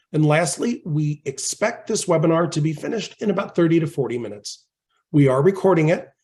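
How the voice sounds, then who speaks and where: Male host, slightly hoarse, reads the intro steadily. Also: a little high, a little mellow. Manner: reads prepared remarks steadily. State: slightly hoarse from a head cold. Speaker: webinar host. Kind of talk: scripted webinar introduction.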